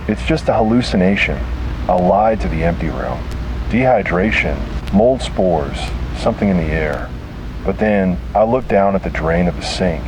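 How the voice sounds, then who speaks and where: Low-voiced man narrating horror over noise. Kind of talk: scripted first-person horror narration.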